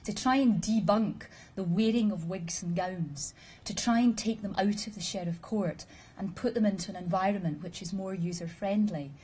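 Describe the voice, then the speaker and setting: A woman speaks to a room of listeners in a measured, explanatory way, with a dry sense of humor. Her voice is husky and mellow, warm rather than bright. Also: medium pitch. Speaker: conference speaker. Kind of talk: formal presentation with audience questions.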